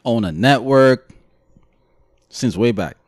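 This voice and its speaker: A man's voice: mid-range voice, lively intonation, slow. A man from Brooklyn with a Brooklyn accent, co-hosting a podcast in a laid-back, sarcastic way. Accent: Brooklyn, with Guyanese touches. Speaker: podcast co-host. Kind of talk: casual podcast chat.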